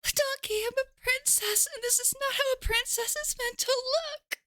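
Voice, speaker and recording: A woman voicing a young princess under a curse, speaking softly and earnestly. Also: very high-pitched, moderate pace, no room sound. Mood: sorrowful. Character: young princess cursed to turn ogre by night.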